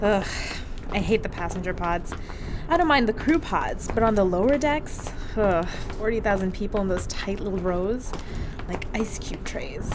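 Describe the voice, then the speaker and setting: A woman's voice: medium pitch, expressive, normal speed, noisy background, lightly acted. A woman playing a chatty, teasing female crewmate who laughs easily. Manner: bubbly, teasing, laughs often. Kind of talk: audio drama acting.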